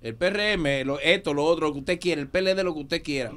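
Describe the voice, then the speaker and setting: A man's voice: a little high, fast, a bit bright. A man from the Dominican Republic co-hosting a radio talk show, giving earnest, emphatic political opinions into a studio mic. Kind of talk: casual political chat. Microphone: studio mic with headphones.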